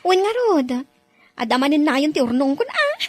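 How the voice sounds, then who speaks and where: An earnest young maid working abroad in an audio drama, voiced by a woman. Her high voice moves lively up and down, and she sounds close and dry. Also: moderate pace, no room sound, soft background music. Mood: advising. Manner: earnest, pleading. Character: young Ilocana domestic worker abroad, earnest. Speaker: overseas worker in a drama.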